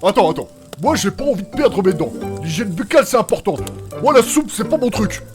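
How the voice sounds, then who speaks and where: An actor playing an eccentric, touchy, pedantic chemist in a comedic audio drama, with blunt, slangy delivery. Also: male voice, high voice, very animated, a little fast. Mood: insistent. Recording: music behind the voice.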